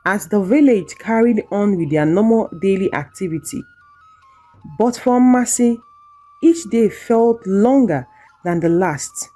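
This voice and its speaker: A woman narrating a scripted folktale in a measured, dramatic storytelling manner. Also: medium pitch, warm tone, faint music behind.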